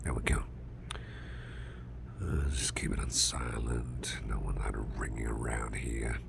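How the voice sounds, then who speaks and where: Casting: male actor as grumpy, fretful boss for ASMR roleplay, rock-bottom growly half-whisper, slow halting mutter, repeats himself. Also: steady tone, noisy background, heavily acted. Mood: polite, hushed. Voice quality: gravelly, growly, very deep, breathy half-whisper. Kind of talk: ASMR roleplay, spoken softly to the listener.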